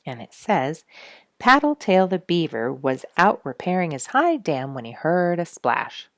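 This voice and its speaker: A woman with a low, slightly gravelly voice reads a children's story aloud. Her delivery is expressive and highly varied in pitch, with emphasis on playful words. The sound is close and dry. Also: measured pace, dry recording. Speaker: storybook reader and host.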